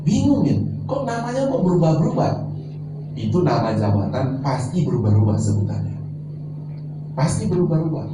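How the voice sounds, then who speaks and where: A man's voice: on the high side, big pitch swings, moderate pace. A man preaching a sermon as pastor, teaching and putting questions to the congregation. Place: large church hall. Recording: echoey church, far from mic, noisy.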